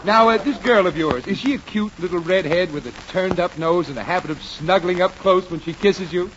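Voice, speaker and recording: Guy doing fussy clerk from 1940s radio comedy, high pitched man, dull crackly sound. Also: a little fast, natural voice. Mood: officious, dry. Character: fussy, wisecracking marriage license clerk, officious man.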